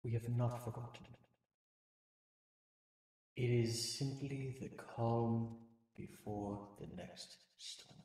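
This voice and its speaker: A man playing an aged rat sensei, a grave and protective father, in a comic dub with gravelly, solemn speech. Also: medium pitch, fairly even intonation, takes it slowly, long pauses, dark tone. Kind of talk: comic book dub acting. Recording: very echoey voice track.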